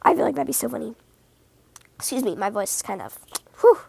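Female podcast host, very high voice scratchy with a cold, chatty rambling fan talk, dry close sound. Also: brisk, vocal fry, breathy edge, no room sound. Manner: giggly, rambling, animated.

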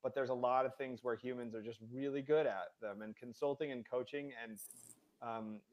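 A man who is a guest on a podcast, talking about financial advice for dentists in a relaxed, rambling way with many fillers. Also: mid-range voice, normal speed, muffled recording. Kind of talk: casual interview.

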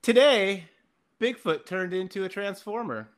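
A man's voice: sits high, unhurried. Wry man in casual comedic chat. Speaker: podcast guest.

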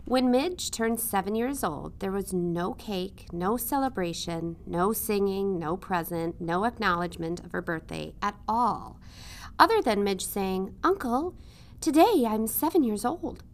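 Expressive woman reading a storybook aloud. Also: medium pitch, unhurried, a little hiss or hum. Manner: expressive, dramatic phrasing. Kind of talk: scripted storybook narration.

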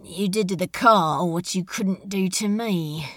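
A woman playing a male demon in a fan audio drama, sardonic, exasperated and insecure, speaking in halting, drawn-out phrases. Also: lowish voice, no echo, clean studio sound. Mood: bitter, exasperated.

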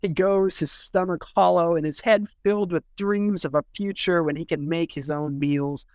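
Male poetry podcaster: raised pitch, heavy vocal fry, casual delivery that circles and repeats itself. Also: darkish tone, dry recording, clean studio sound. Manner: halting, rambling, repeats words as thoughts form. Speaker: poetry editor discussing poems on a podcast. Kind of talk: casual discussion with a short read-out.

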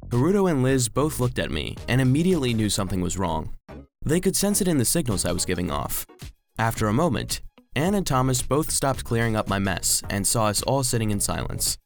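An earnest, anxious robot vacuum cleaner tells a children's audio story. The delivery is lively and expressive, at a middling pitch, with music underneath. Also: male voice, brisk. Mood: wistful, worried. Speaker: narrator. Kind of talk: scripted children's audio drama narration.

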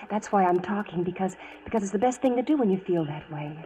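A woman's voice: medium pitch, expressive, quick pace, close to an everyday voice. An actress playing a bold, tender young frontier woman with an American accent, in 1940s radio style. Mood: earnest, tender. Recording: noisy, muffled 1940s radio broadcast.

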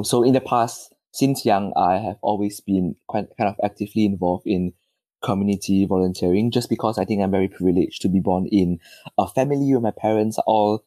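Soft-spoken, hesitant young man. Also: lowish voice, no room sound. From Malaysia. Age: 20s. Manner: hesitant, modest, repeats words and laughs often. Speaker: guest. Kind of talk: conversational interview answers.

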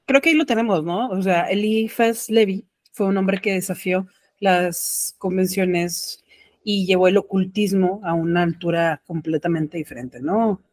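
A woman co-hosting a podcast, adding quick, teasing interjections. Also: on the low side, a little mellow, no echo. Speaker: podcast co-host. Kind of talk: casual podcast chat.

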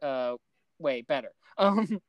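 Animated man chatting casually about movies. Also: a little high, slow pace, no room sound. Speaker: podcast co-host. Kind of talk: casual film discussion.

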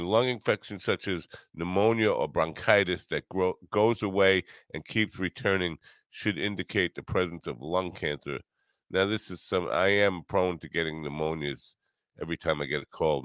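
An older man hosting his own podcast in a rambling solo monologue, grumbly and low, wry and self-mocking. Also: moderate pace, fairly bright tone, dry recording. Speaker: podcast host.

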